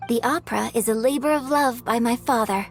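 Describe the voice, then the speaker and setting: An actress voicing a polite, composed young opera singer in a video game, speaking formally. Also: female voice, on the high side, a little fast, faint music behind, lightly acted. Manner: formal, courteous.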